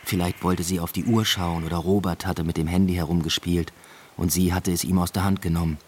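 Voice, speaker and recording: A man's voice: low-pitched, fairly even intonation, brisk, a little mellow, a little hiss or hum, natural voice. An actor playing a brooding, evasive father who narrates his own story in a literary radio drama. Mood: brooding, reflective. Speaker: narrator and lead character.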